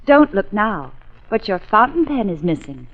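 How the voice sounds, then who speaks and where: A woman acting a sensible, dry-witted secretary, wry and brisk, in 1940s radio style. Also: mid-range voice, big pitch swings, close to an everyday voice. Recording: muffled 1940s radio broadcast.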